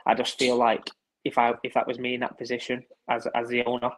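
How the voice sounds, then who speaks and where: Hesitant man, flat delivery. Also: mid-range voice, brisk, poor audio. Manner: hesitant, repeats words. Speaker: guest pundit. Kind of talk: casual podcast chat.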